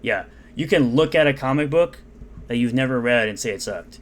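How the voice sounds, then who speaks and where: A man's voice: mid-range voice, animated, moderate pace. A man reading out and mocking comments in an unscripted, sarcastic rant. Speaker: commentary YouTuber.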